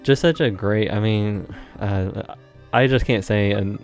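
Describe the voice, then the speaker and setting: A man's voice: lowish voice, a little slow, darkish tone, faint music behind. A man from Indiana co-hosting a podcast, rambling casually with lots of fillers. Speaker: podcast co-host. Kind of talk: casual chat.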